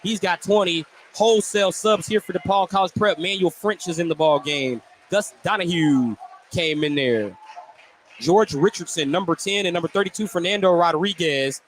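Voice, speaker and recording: A man's voice: sits high, moderate pace. A man calling live basketball play-by-play as a radio announcer from a gym. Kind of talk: live sports commentary. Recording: light gym noise behind the commentary. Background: gym ambience.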